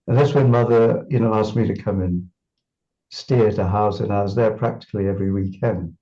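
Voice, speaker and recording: Lowish mellow guy from singapore, stumbling over words, muffled call sound. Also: a little fast. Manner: thoughtful, repeats words, many fillers. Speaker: interviewee. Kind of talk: reflective interview reminiscence.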